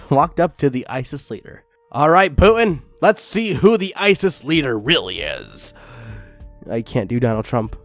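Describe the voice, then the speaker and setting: A man narrates a comic story aloud with incredulous asides. His slightly high voice swings widely in pitch at a slow, halting pace, over music. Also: a little mellow, no echo. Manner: incredulous, often breaking from the script. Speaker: fan fiction reader.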